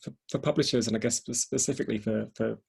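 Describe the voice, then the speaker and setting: A panel host moderates in an informal, conversational style. The voice has marked creak and a husky, rough edge at a middling pitch. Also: steady tone, fast, darkish tone.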